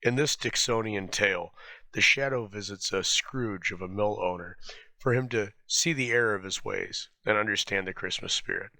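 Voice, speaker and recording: Man, low pitch, forceful tone, scripted host introduction, close dry recording. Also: dry recording. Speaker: host introducing an old radio episode.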